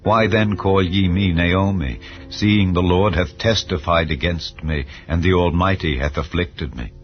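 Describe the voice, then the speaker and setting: A man reading the lines of Naomi, a grieving aged widow, in slow, solemn biblical speech. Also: low voice. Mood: lamenting. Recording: slightly dull, soft music behind.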